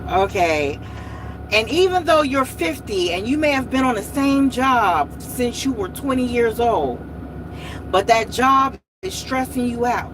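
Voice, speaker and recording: A woman's voice: on the high side, a bit bright, slight background noise, low-quality recording. A woman in her late forties hosting a motivational vlog, speaking in a repetitive, encouraging way and often saying y'all. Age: 40s. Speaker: host.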